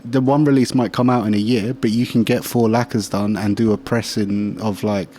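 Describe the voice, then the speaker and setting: A man's voice: lowish voice, fairly even intonation, moderate pace, warm tone, a little hiss or hum. A man with an English accent in a casual, slangy chat. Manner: slangy, informal. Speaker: talk show participant.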